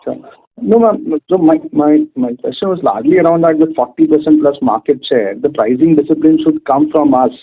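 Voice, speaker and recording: A man's voice: on the high side, sing-song, fairly quick, vocal fry. A man asking questions as an analyst on a business call, phrasing them in a hesitant, roundabout way. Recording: narrow muffled phone conference line.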